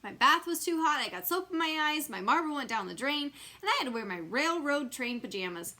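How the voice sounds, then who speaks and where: A sulky young storybook boy, played by a woman, whines with great indignation. The voice is bright and a bit high, with complaints drawn out dramatically. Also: big pitch swings, brisk, full character voice. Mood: disgusted, whiny. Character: grumpy, sulky young boy complaining about his bad day.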